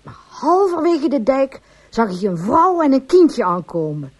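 An anxious, pleading farm wife in a radio drama. Her voice is high and swings widely in pitch. She tells things earnestly and with feeling. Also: big pitch swings, a little slow, darkish tone, heavily acted. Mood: distressed, confessing. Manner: earnest, emotional storytelling. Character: distraught, pleading farmer's wife confessing all.

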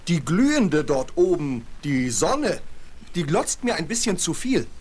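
A man's voice: on the high side, very animated, measured pace, a little mellow, slightly rough, heavily acted. An actor playing a cheerful, vain snowman in a children's radio play, full of delighted exclamations. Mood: smug. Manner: exclamatory, delighted, self-satisfied. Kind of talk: 1970s German children's radio play acting.

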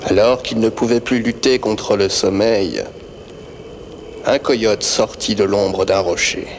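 Slow-spoken Native storyteller played by a man, noisy ambience. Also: on the low side, steady tone. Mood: solemn storytelling. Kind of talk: French western audio drama, fable-like storytelling.

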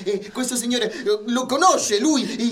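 A man's voice: very high voice, normal speed, a bit bright. An actor playing a doddering, repetitive man who feigns blindness in a comic radio play. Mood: insistent, befuddled. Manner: stammering, repetitive. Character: doddering man feigning blindness, nostalgic for his great leader.

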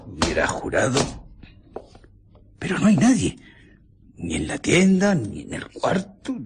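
An actor playing an angry, suspicious man muttering to himself in a radio drama, halting and agitated. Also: on the high side, wildly swooping pitch, husky, exaggerated voice. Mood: baffled, suspicious.

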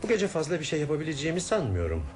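A man acting in a radio play as a calm, courteous investigator who speaks in a polite, deliberate, probing way. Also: on the high side, music in the background.

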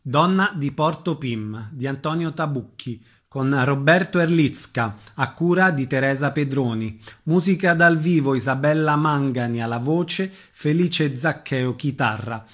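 A man reading a scripted announcement as a radio announcer, in a measured, formal way. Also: medium pitch.

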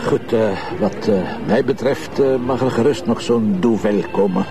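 An actor playing a relaxed, teasing, bossy Flemish police commissioner in a television crime drama, wry and patronising. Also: male voice, medium pitch, moderate pace, lightly acted. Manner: wry, self-satisfied, patronising. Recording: loud music behind, outdoor city street.

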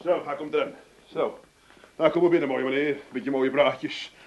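A middle-aged actor with an Amsterdam accent playing a hot-headed, jealous husband in a radio comedy, blustering and stammering. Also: male voice, a little high, steady tone, normal speed, highs cut off. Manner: blustering, stammering, theatrical outbursts. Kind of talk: comic radio play acting.

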